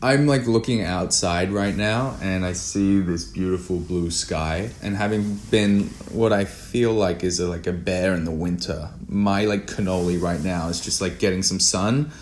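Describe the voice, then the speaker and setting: A man speaking as an interview guest in casual chat, musing in halting phrases. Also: low voice. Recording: faint background noise.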